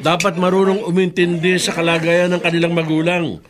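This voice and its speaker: An actor playing a blunt, exasperated middle-aged friend with a Filipino accent, scolding in colloquial radio drama style. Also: male voice, a little high, completely flat delivery, a little fast, a crowd in the background, close to an everyday voice.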